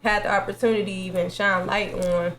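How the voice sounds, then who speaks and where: A woman's voice: medium pitch, little pitch movement, a little fast, a bit bright. A young woman from Ohio with an Ohio accent, a journalist guest talking casually and laughing often in slangy speech. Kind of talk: casual interview chat.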